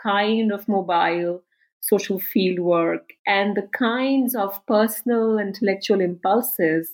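Winding, appreciative woman interviewer. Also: medium pitch. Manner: long winding questions with "you know" and "uh" fillers, appreciative. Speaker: podcast host, interviewer. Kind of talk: academic interview questions.

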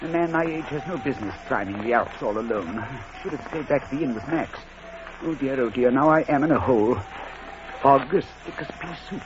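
An actor playing a shy, fussy middle-aged British schoolmaster in an old radio drama, speaking in a hesitant, stammering, formal way. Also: male voice, medium pitch, expressive, fairly quick, natural voice. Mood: anxious, grumbling. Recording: dull, faintly noisy vintage radio recording.

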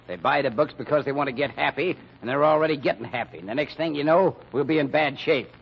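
An actor playing a dour, pessimistic company treasurer who grumbles through an American old-time radio mystery. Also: male voice, medium pitch, quick pace, vocal fry, slight background noise, close to an everyday voice. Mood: gloomy. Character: sour, gloomy, grumbling male company treasurer. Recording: dull vintage radio recording.

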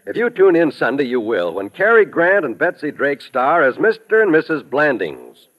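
A man's voice: a little high, huge pitch swings, moderate pace, muffled recording. An American man reading a promotional announcement in an old-time radio style. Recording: vintage radio broadcast recording.

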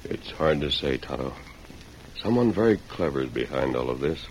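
Very deep-voiced, resolute cowboy hero. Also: male voice, expressive. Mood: hushed, thoughtful. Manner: measured, formal, authoritative. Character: calm, resolute masked cowboy lawman hero, adult man. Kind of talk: old-time radio western acting. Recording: noisy vintage radio drama recording.

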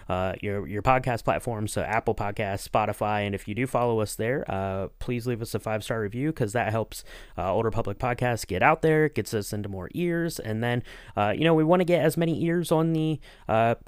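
A man hosting a podcast in casual chat, talking in a halting, rambling way. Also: medium pitch, clean tone, no echo, studio quality. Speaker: podcast host and interviewer.

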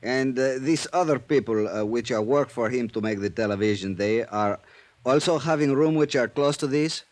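An actor playing an eager Mexican police captain. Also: male voice, mid-range voice, darkish tone, natural voice. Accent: Spanish. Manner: halting broken English with Spanish words. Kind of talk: 1950s radio drama acting.

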